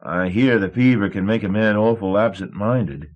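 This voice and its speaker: A man's voice: lowish voice, normal speed, highs cut off, close to an everyday voice. A man voicing a nervous young shipping clerk who stammers and babbles. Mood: flustered.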